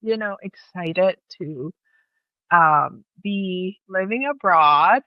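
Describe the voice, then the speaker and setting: Slow-paced Thai-accented woman, slightly dull sound. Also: fairly low pitch, dry recording. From Thailand. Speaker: interview guest. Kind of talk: casual personal storytelling.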